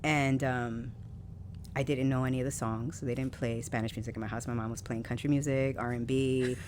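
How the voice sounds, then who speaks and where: Low-voiced New woman, candid. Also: fairly quick. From New York City. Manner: candid, animated storytelling with frequent laughs. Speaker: poet, podcast guest. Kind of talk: casual interview conversation. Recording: faint background noise.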